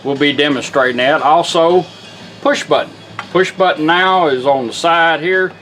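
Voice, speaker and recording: A man's voice: on the high side, normal speed, fairly bright tone, soft background music. A plainspoken man, casually demonstrating a product he restored. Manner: folksy, plainspoken.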